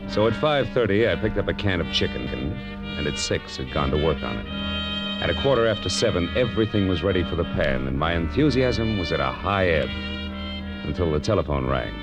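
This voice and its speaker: An actor playing a wry, world-weary private detective in a 1940s radio drama, dry, hard-boiled and wisecracking. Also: male voice, sits low, sing-song, a little fast. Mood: wry narration. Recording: dull vintage radio recording with music.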